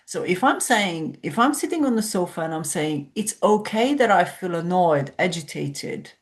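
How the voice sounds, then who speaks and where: A woman's voice: fairly low pitch, expressive, moderate pace. A woman from Hungary, a sleep coach explaining ideas reflectively as a podcast guest. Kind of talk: podcast conversation.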